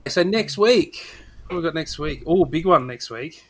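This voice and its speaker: A man's voice: a little high, animated, unhurried. A man hosting a casual film podcast, chatting informally. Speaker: podcast host.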